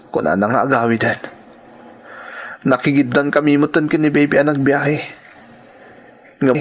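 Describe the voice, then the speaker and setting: A man's voice: medium pitch, lightly acted. A man playing a wounded young new father and dutiful son in an audio drama, earnest and plaintive. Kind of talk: Ilocano serial audio drama acting.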